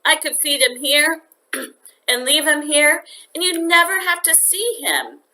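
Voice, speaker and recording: A woman's voice: high-pitched, lively intonation, unhurried, bright, forceful tone, pitched above the performer's own voice, heavily acted, poor audio. A woman reading a storybook, voicing an earnest young boy who is eager and anxious to please. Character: eager, pleading young boy attached to his pet.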